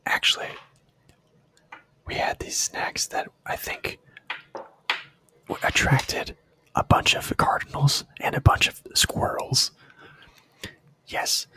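A man whispering a rambling, profane camping story in a relaxed, self-amused way. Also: slow pace, pauses often. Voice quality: breathy whisper.

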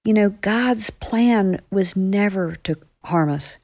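A woman's voice: mid-range voice, slow, a little mellow, no echo, studio quality. A woman author speaking as a guest, explaining earnestly to young listeners.

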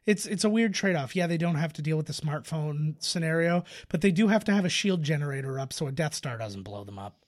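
A man's voice: on the high side, animated, brisk, few pauses. A man co-hosting a podcast, chatting casually through a film commentary and swearing now and then. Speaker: podcast co-host.

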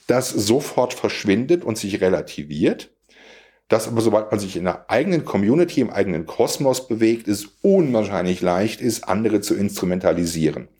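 A man's voice: on the low side, a little mellow, breathy edge. A man speaking in a reflective discussion, explaining things in an articulate, emphatic way.

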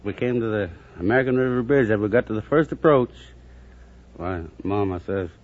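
A man's voice: on the low side, brisk. A middle-aged man speaking as a radio quiz contestant, in a folksy, jovial manner. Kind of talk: anecdotal interview answers. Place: stage before a studio audience. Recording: muffled, noisy old radio recording, audience.

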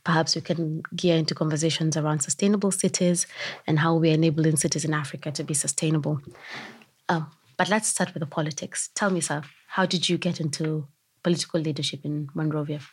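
A woman's voice: deep voice, fairly even intonation, a little fast, husky, dry recording. A woman hosting a podcast, speaking in a prepared, interview style. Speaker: podcast host. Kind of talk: prepared introduction and interview questions.

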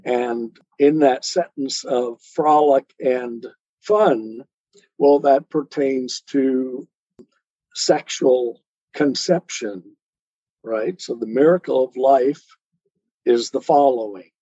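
A man with a slow delivery and a muted, mellow voice explains a poem as an interview guest. His phrasing is deliberate and earnest, a little preacherly. The recording is dull and lo-fi. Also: mid-range voice, no room sound. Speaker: interview guest, poet and author. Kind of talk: reflective explanation of a poem in conversation.

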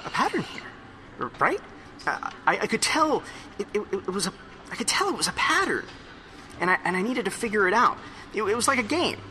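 A man playing an excitable, hungover rambler in an audio drama monologue, stammering, repeating and correcting himself as he confides. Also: sits high, a little hiss or hum, heavily acted. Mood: puzzled, intent. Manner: halting, repetitive, self-correcting rambling.

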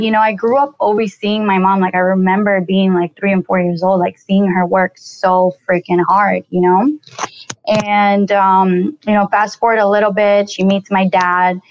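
A woman's voice: mid-range voice, dull audio, a little hiss or hum. A woman in her twenties from Colombia, a guest on an interview podcast, telling her story in a casual, chatty way full of "like" and "you know". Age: 20s. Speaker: podcast guest, real estate investor.

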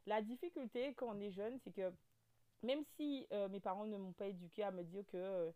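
A woman in her thirties with a Guadeloupean French accent, a guest telling her story in a casual interview, with lots of fillers, restarts and animated turns. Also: medium pitch, normal speed. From French overseas territory. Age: 30s.

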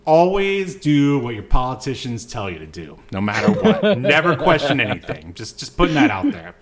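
A man co-hosting a podcast, casually recapping a movie scene by scene, haltingly reading from notes with joking asides. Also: medium pitch, very animated, a loud crowd. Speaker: podcast co-host.

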